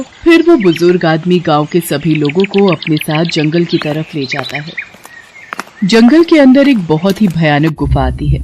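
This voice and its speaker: Storybook narrator, mid pitch, telling a fairy tale with music playing behind. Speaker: narrator of animated fairy tales.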